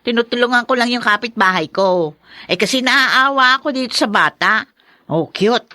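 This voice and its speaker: A woman's voice: a little high, moderate pace, pushed and bright, dry recording, studio quality. A woman acting an eager, gossipy, slightly evasive neighbour in a Filipino radio drama, with a Filipino accent. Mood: hesitant, sweet.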